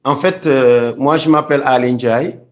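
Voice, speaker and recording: A man's voice: mid-range voice, normal speed, lo-fi sound. A man from Senegal answering interview questions thoughtfully, often restarting his phrases. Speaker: guest.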